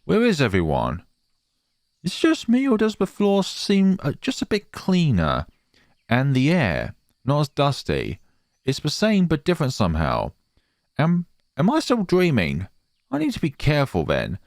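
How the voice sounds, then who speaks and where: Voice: medium pitch, wildly swooping pitch, a little slow, no echo. A gamer giving casual commentary, reacting and reading game text aloud expressively, close to the microphone. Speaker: gamer, let's player.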